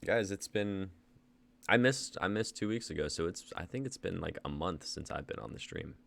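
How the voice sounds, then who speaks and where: A man's voice: low-pitched, lively intonation, normal speed, gravelly, fairly bright tone. A young man in his twenties hosting a live script reading, laughing easily and reading the stage directions. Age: 20s. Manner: laid-back, laughing, uses y'all. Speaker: host and playwright.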